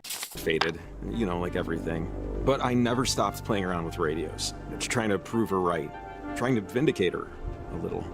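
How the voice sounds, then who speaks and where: A man's voice: sits low, moderate pace, vocal fry, over music. A man playing a wry, talkative radio tinkerer in a video game, speaking casually and hesitantly in a rambling, natural way. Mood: wistful, reflective.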